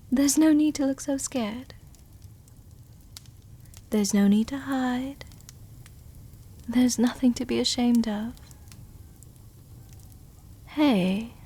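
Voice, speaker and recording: Casting: female actor as seductive vampire noblewoman, ASMR roleplay. Very slow, intimate delivery, comforting, protective, teasing, softly dominant. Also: a little high, husky. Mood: soothing, reassuring. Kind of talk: intimate ASMR roleplay, scripted character acting.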